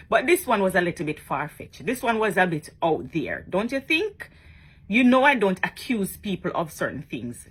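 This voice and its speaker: A woman's voice: lowish voice, vocal fry, fairly bright tone, slightly rough, low-quality recording. A woman talking casually to her followers in a vlog, chatty and emphatic, often tagging on questions. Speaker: social media blogger.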